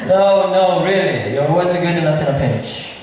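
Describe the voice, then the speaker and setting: A man's voice: a little high, expressive, moderate pace, a little mellow, natural voice. A man playing a genial, mischievous, lordly prince in an amateur school stage comedy. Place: large echoey indoor hall. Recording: very echoey hall from far off, hissy.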